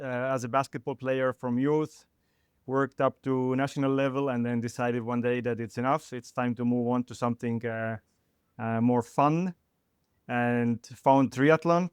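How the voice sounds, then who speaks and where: Middle-aged guest, clear tone, halting and full of restarts. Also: male voice, mid-range voice, no room sound, clean studio sound. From Estonia. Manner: halting, with many fillers and restarts. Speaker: sports chiropractor guest. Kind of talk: podcast interview.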